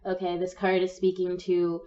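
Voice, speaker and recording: A young woman with a cold gives a gentle, encouraging tarot reading, her voice slightly croaky. Her tone is soft and mellow. The audio sounds dull and a little distant, as if she sits back from the mic. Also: lowish voice, monotone, moderate pace. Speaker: tarot reader. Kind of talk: pick-a-card tarot reading.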